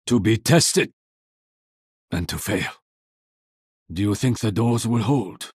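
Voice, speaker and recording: Husky, brooding Japanese archer. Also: male voice, lowish voice, slow pace, a little mellow, dry recording, clean studio sound, heavily acted. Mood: stern, brooding. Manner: solemn, proud, terse. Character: stern, brooding Japanese archer, exiled warrior of honor. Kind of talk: video game voice lines.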